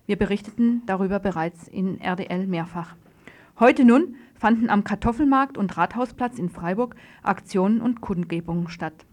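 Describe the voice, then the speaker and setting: A woman's voice: mid-range voice, normal speed, a little mellow. Woman hosting a radio interview. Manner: conversational, probing follow-up questions. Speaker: radio host interviewing a studio guest. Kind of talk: radio news interview, informal address. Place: radio studio.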